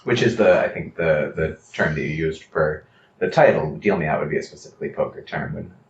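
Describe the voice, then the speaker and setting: A man with a deep voice asks questions as an interview co-host. His phrasing is measured and a bit hesitant. The recording is echoey and slightly dull. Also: gravelly. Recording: echoey, slightly dull room with faint noise.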